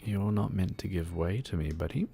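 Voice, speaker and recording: A man streaming a game, chatting in a soft-spoken, soothing, rambling way with lots of fillers. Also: low voice, normal speed, vocal fry, slight background noise. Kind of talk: relaxed casual chat while gaming.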